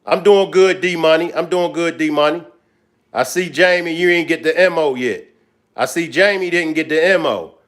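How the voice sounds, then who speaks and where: A man's voice: on the high side, normal speed, fairly bright tone. A man hosting a live stream, talking loosely and directly to his viewers. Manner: loose, chatty, talks straight to viewers. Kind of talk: casual live-stream commentary.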